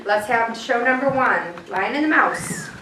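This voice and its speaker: A woman's voice: on the high side, lively intonation, unhurried. A woman giving an informal welcome speech to an audience as organiser of a children's puppet show. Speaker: children's theatre programme organiser introducing a show. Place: community building hall, before an audience. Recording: echoey hall, distant microphone, crowd noise.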